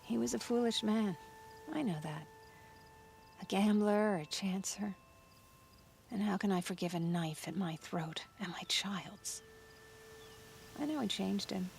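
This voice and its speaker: Weary, subdued freed captive. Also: female voice, medium pitch, a little slow, gravelly, long pauses, darkish tone, slight background noise. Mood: grieving, subdued.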